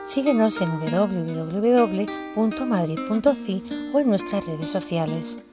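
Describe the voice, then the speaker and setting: A woman's voice: mid-range voice, animated, measured pace. A woman presenter reading a formal scripted podcast outro. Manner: formal, announcing. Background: loud guitar music.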